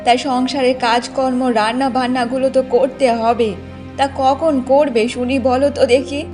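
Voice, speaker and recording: A woman voicing an aging, exasperated village mother-in-law in a cartoon folktale, nagging in a drawn-out way. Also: high-pitched, flat delivery, a little mellow, faint music behind, lightly acted. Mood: scolding, exasperated. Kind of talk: Bengali cartoon folktale acting.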